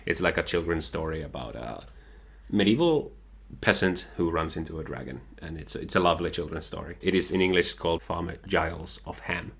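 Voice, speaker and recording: A man's voice: low-pitched, a little fast, slightly rough. A man, talking earnestly and eagerly about books he loves. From Finland. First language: Finnish. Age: 20s. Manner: earnest, enthusiastic, many "like" fillers. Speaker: guest, partner of the host. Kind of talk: casual chat.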